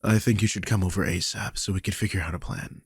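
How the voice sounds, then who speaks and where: A man's voice: deep voice, fairly even intonation, fast, dry recording, clean studio sound, exaggerated voice. A man playing a worried, guilty vampire king who is protective of his charge, confiding wearily in an audio roleplay. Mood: worried, urgent. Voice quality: husky, breathy. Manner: confiding, weary, sighing, swears. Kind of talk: dramatic audio roleplay, one side of a conversation.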